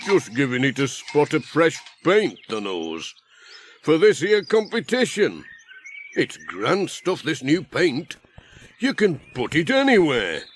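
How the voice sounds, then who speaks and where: A man's voice: fairly high pitch, wildly swooping pitch, slow pace, a little hiss or hum, exaggerated voice. A man voicing a breezy, slapdash village handyman with blunt, offhand rustic talk for a children's audiobook.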